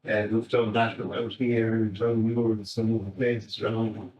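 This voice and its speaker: A man's voice: lowish voice, level tone, unhurried, a little mellow, muffled recording. An Irish man making an informal, rambling contribution at a community talk. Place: indoor event. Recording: echoey room, distant microphone.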